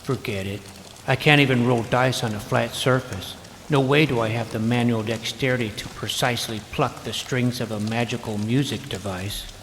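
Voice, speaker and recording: A man's voice: mid-range voice, fairly even intonation, moderate pace, fairly bright tone, a little hiss or hum, close to an everyday voice. A man playing a naive, self-doubting, geeky boy who narrates his fantasy adventure in a wry, youthful, earnest way. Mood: frustrated, defeated. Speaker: narrator and lead character. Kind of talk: first-person scripted fantasy narration and dialogue. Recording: music behind the voice.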